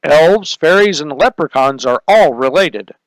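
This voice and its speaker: Man playing police sidekick, naive, dim-witted, high-pitched, lively, detective spoof. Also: unhurried, no echo, poor audio. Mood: puzzled. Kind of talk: comic detective radio-drama parody.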